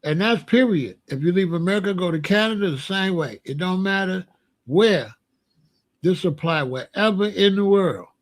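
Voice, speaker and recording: A man with a somewhat high voice runs his own opinion show. He speaks bluntly in loose street slang and keeps firing rhetorical questions. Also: expressive, moderate pace. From Chicago. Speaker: show host. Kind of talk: casual opinion monologue.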